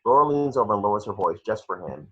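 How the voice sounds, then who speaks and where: A man's voice: fairly low pitch, very animated, fast, a bit bright, slightly rough. A man reading scripted action descriptions as narrator for a table read, on a muffled home recording.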